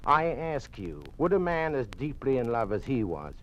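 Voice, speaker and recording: A man's voice: mid-range voice, sing-song, moderate pace, slight background noise, natural voice. A man playing a defiant, suspicious doctor in a police state, blunt and challenging, in an old-time radio drama. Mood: insistent, accusing. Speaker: actor. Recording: dull, lo-fi old radio recording.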